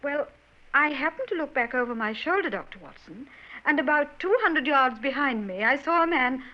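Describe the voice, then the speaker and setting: A woman's voice: high-pitched, animated, normal speed. An actress playing an earnest young Victorian woman in an old radio drama, talking in a hesitant, genteel way. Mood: worried. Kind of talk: 1940s radio acting. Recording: dull old radio broadcast.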